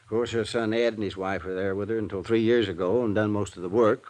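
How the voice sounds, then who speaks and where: An uneasy, folksy banker from a 1950s radio drama, acted by a man. His voice sits low, and he explains things earnestly but hesitantly, as if worried. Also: steady tone, fast talker, a little mellow, natural voice. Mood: earnest explaining. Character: uneasy, hesitant male small-town banker with a worry.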